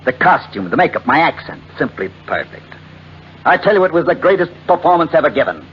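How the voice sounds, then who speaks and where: Pompous male scoundrel, boastful, widely swinging pitch; 1940s radio melodrama, muffled sound. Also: big pitch swings, fairly quick, a little hiss or hum. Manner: pompous, theatrical. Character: vain, boastful scheming actor, a scoundrel husband. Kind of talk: 1940s radio drama acting.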